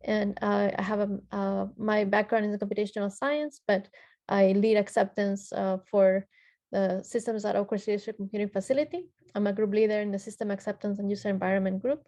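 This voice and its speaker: A woman's voice: medium pitch, steady tone, fairly quick, dark tone. Hesitant woman moderator, from Ecuador. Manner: hesitant, many filler sounds and restarts. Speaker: panel moderator. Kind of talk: reading panelist introductions.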